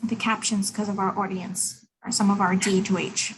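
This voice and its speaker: A woman's voice: medium pitch, level tone, normal speed, background noise. A woman helping with a live stream who gives short, direct technical cues from across the room.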